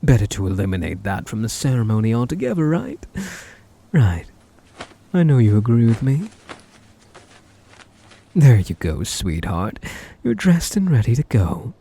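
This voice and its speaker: A man acts out a possessive stalker groom for an ASMR roleplay. His voice is breathy and dark, swooping through sweet endearments that carry quiet menace. It is recorded close and dry. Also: mid-range voice, huge pitch swings, measured pace, long pauses, heavily acted. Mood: jealous, menacing. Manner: doting endearments laced with menace. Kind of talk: ASMR roleplay audio drama, addressing the listener.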